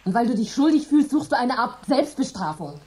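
A grave, earnest wife in a radio drama. Her voice sits a little high and her words come solemn and deliberate. She confronts calmly rather than shouting. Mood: confronting. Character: Rachel, ominous earnest wife. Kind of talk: radio play acting.

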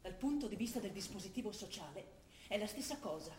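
A woman's voice: mid-range voice, animated, brisk, a little mellow, a little hiss or hum, natural voice. An actress playing a defiant young militant woman from who reads her letters and argues with her father. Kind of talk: stage play broadcast on radio. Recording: echoey stage recording with music.

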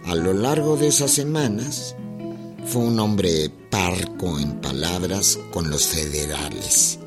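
A deep-voiced storyteller narrates history at a slow, grave pace. His radio drama narration rides over loud music. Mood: grave, measured.

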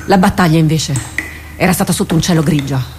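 A woman playing a tormented female warrior captain in a radio drama, speaking gravely and haltingly, with introspective weight. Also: low voice, background noise, natural voice. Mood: haunted, remembering.

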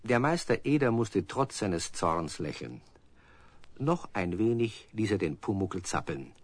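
A man's voice: on the low side, very animated, measured pace, no echo. A man narrating a children's radio play as a storyteller, in scripted prose.

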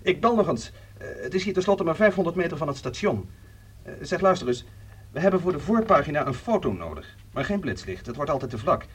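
Brisk, husky, high-pitched newspaper reporter. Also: male voice, big pitch swings. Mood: brisk, urgent. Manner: hurried, halting dictation, businesslike. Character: brisk newspaper reporter dictating lurid disaster copy by phone. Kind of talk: radio play acting, dictating by telephone.

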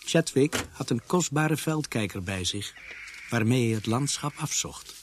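An actor playing a grave narrator who recalls a police case in a radio drama, measured and sombre. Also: male voice, medium pitch, expressive, a little hiss or hum, natural voice. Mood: reflective. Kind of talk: scripted first-person narration in radio drama.

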